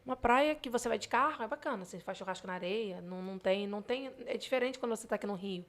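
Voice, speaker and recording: A woman's voice: medium pitch, quick pace. A woman with a Rio de Janeiro accent, chatting casually and explaining things as a podcast guest. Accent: Rio de Janeiro Brazilian Portuguese. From Brazil, grew up in Rio de Janeiro. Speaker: podcast guest, digital marketer. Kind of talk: casual interview chat.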